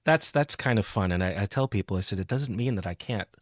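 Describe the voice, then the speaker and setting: A man hosting a casual conversational interview, thoughtful and halting as he rephrases his way through sentences. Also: on the low side, lively intonation, no echo, studio quality. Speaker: podcast host.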